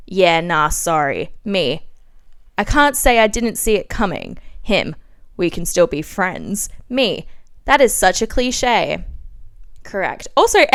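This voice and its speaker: A woman in her twenties with an Australian accent hosts a podcast, reading her diary dramatically with animated, self-mocking asides. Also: medium pitch, clean tone. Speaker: podcast host.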